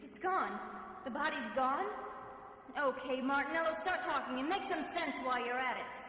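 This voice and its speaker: An actress playing a tough, wisecracking female private detective in an old-time radio drama, brisk and sardonic. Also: sits high, bright, forceful tone. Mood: indignant, pressing. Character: wry, tough female private detective narrating her case. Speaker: radio drama lead and narrator.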